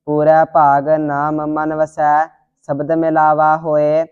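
Monotone, slow scripture recitation. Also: medium pitch, smooth. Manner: steady, monotone, deliberate. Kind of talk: devotional scripture recitation, read line by line. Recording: dull lo-fi audio.

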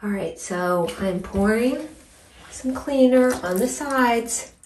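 A woman in her forties chatting casually in an expressive, emphatic way, as a home cleaning vlogger. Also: fairly high pitch, slow, slight background noise. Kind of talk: casual vlog chat and narration while cleaning. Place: small tiled bathroom, indoors. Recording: roomy bathroom echo, distant mic.

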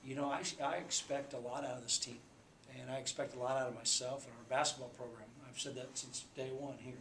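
A man in his forties, a basketball coach, speaking at a postgame press conference in a subdued, halting way with many fillers. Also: medium pitch, flat delivery, a bit bright. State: subdued after a loss. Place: small press room indoors. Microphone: table mic. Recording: echoey room, faint background hum.

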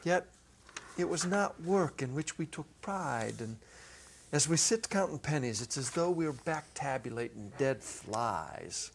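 A middle-aged man narrating a story dramatically from a script, with deliberate phrasing and pauses. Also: fairly high pitch, animated, creaky, slight background noise. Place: small indoor stage set. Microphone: clip-on lapel mic.

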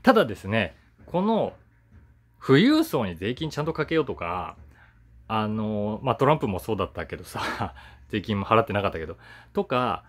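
Male, 40s, casual solo commentary, conversational and musing, very lively intonation. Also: on the low side. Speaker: voice actor hosting his own talk channel. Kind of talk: casual chatty commentary to camera.

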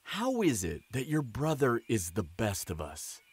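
Man acting raspy, domineering warrior. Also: medium pitch, huge pitch swings, a little slow, heavily acted. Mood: scornful. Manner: menacing, sneering. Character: domineering, contemptuous male warrior squad member. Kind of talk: audio roleplay acting.

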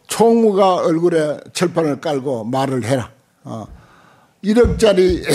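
An older man lectures as a Buddhist monk. His voice is husky and mellow, and he talks informally, pausing often and dropping in short interjections as he explains a text into a desk microphone. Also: fairly high pitch. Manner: halting, conversational, with frequent pauses and short interjections. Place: lecture room at a temple center, seated at a desk. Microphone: desk gooseneck microphones close in front.

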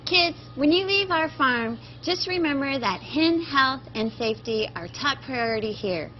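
A woman in her forties with a fairly high voice explains farm life to children. The recording is slightly dull, with some ambient noise behind her. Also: expressive, measured pace. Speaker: egg farmer, interview guest. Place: semi-open metal farm shed. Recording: dull audio with background noise.

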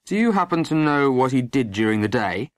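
A narrator voicing a stern, angry middle-aged police sergeant and father, gruff and curt, in an audiobook. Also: medium pitch, big pitch swings, brisk, no room sound, natural voice.

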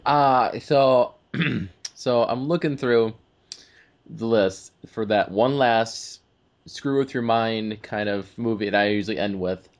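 A man's voice: lowish voice, takes it slowly. A man co-hosting a casual discussion in a chatty, informal way. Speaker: podcast co-host. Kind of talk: casual film and game discussion.

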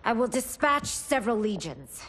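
A woman's voice: fairly high pitch, big pitch swings, moderate pace, a bit bright. A woman playing a regal, exasperated empress in a fantasy video game, imperious and formal. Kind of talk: dramatic game cutscene acting.

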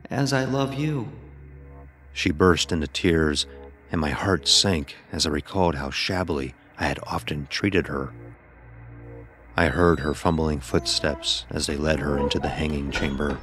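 Blind son, very deep bass, solemn horror narration, fearful and somber, music underneath. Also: male voice, a little slow, a bit bright. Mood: tender, urgent. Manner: solemn, literary. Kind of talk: scripted first-person horror narration. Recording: voice over background music.

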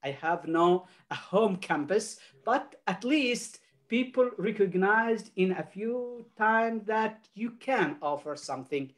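An older man whose first language is Arabic, giving halting, earnest remarks as a panelist on a video call, with many restarts and fillers. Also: high-pitched, expressive.

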